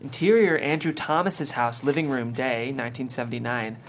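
A woman with a very deep voice narrates a screenplay, reading scene headings and action. There is some noise behind her. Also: moderate pace. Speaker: stage-direction narrator. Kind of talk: scripted narration at a table read.

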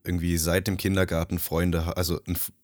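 A young man who grew up in Nepal, hosting a casual podcast in a relaxed, hesitant way. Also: deep voice, fast, dry recording, studio quality. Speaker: podcast host. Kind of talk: casual conversation.

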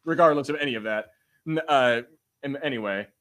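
A man's voice: medium pitch, brisk, fairly bright tone. A man hosting a podcast with casual banter, talking in an informal, slangy way with some swearing. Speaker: podcast host.

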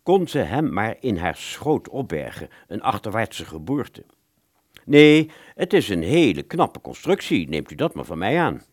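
A man's voice: fairly low pitch, very animated, a little slow. An actor playing a courteous but pedantic and evasive bookshop salesman in a radio play, fussy and patronizing in manner. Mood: defensive, explaining.

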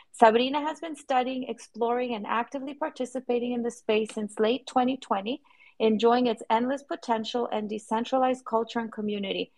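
A woman co-hosting an online chat, reading a guest bio aloud and welcoming her warmly. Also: fairly high pitch. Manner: friendly, reads aloud smoothly. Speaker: co-host.

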